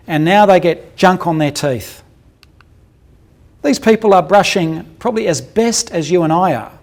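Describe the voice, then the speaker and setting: A man with a gravelly, somewhat high voice lectures on dental cases. He talks bluntly and colloquially, slips in jokes, and puts questions to his audience. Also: darkish tone. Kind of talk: clinical lecture with case examples.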